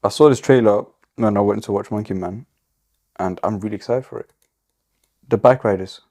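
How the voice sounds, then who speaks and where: A man in casual podcast banter, animated and sweary, often repeating himself. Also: on the low side, moderate pace. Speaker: podcast co-host.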